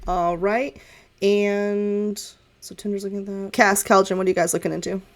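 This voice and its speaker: A woman's voice: mid-range voice, level tone, moderate pace. A woman running a tabletop game, improvising narration as game master and talking with her players.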